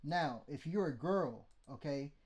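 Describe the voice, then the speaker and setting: A man's voice: a little high, expressive, a little slow, darkish tone. A man with a mid-range voice teaching vocal mixing in a loose, blunt way, swearing and checking in with "okay?". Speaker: mixing tutorial host. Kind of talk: casual how-to teaching.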